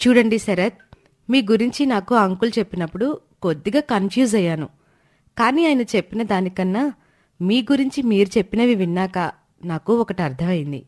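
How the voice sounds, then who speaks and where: A woman voicing a sincere, supportive young bride-to-be in an animated moral story, reasoning gently and earnestly. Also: mid-range voice, normal speed, natural voice. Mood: earnest, reassuring.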